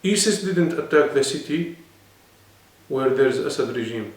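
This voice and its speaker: A man's voice: mid-range voice, animated, normal speed. A man with an Arabic accent, speaking non-native English and explaining earnestly as a guest in a serious interview. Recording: echoey room, mic at a distance.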